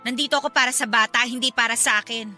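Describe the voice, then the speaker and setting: A woman's voice: a little high, steady tone, fast, sharp tone, soft background music. A woman playing a desperate young single mother in an emotional, confrontational radio drama, pleading and accusing. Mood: tense pleading. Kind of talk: Filipino radio drama acting.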